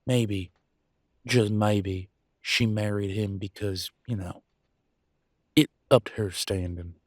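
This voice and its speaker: Man playing a low-voiced werewolf husband. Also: steady tone, slow pace, dry recording, studio quality. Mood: hurt, accusing. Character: proud, wounded young werewolf husband and country vet. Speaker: voice actor. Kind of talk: dramatic roleplay audio, one side of a couple's argument.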